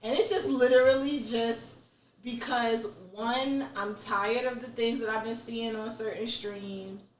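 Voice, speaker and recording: A woman's voice: fairly high pitch, fairly even intonation, unhurried, echoey room, recorded from a distance, background noise. Off-mic woman hosting casual chat. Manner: candid, swears freely, thinks aloud. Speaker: podcast host.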